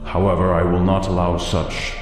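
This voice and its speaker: A man playing a grave, formal doctor in a fantasy audio drama, speaking with measured restraint. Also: low-pitched, completely flat delivery, close to an everyday voice. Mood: solemn resolve. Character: grave, formal male doctor bound by a dark bargain. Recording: very echoey hall reverb. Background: music.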